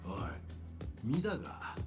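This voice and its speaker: An actor playing a brash, confident swordsman leader in a period game drama, with rough, commanding, blunt speech. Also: medium pitch, very animated, measured pace, music in the background. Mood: brash, familiar.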